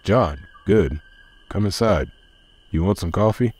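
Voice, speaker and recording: A man voicing a watchful, world-weary middle-aged man in a comic dub, terse, measured and dryly wry. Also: deep voice, expressive, darkish tone, husky, full character voice. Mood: gruff, welcoming. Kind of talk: dramatic dialogue. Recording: music behind the voice.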